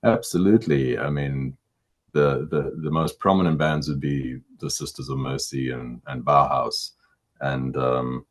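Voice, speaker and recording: A middle-aged man with a baritone voice, a musician answering interview questions in a casual, halting way, often repeating words as he thinks. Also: steady tone.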